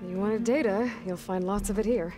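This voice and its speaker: A woman's voice: medium pitch, moderate pace, close to an everyday voice. An actress playing a composed, plain-spoken young woman adventurer in a video game, patient and reassuring with companions. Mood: matter-of-fact. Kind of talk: video game dialogue acting. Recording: loud music behind the voice. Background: music.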